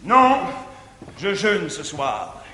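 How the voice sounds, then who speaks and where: Brooding jealous older guardian, bright high voice, echoey theatre hall. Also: male voice, huge pitch swings, drawn-out words, a little hiss or hum, exaggerated voice. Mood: curt. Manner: brooding, declamatory. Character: jealous middle-aged man, bitter guardian. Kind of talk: declaimed verse stage acting. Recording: echoey 1959 theatre recording.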